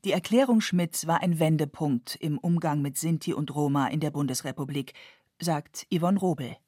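A woman reading a scripted documentary narration in a measured, even way into a studio mic. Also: low-pitched, no room sound.

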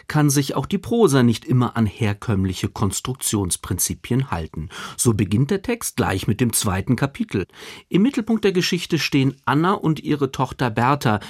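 A man's voice: medium pitch, darkish tone, slightly rough, no echo, studio quality. A man reading a scripted radio book review as a literary critic, articulate and wry.

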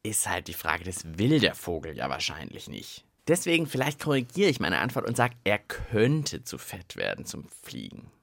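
Playful male co-host, expressive pitch. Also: moderate pace, vocal fry, hardly any pauses, no room sound, studio quality. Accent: German. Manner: playful, self-mocking. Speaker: radio co-host. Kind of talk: lively children's radio chat. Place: radio studio.

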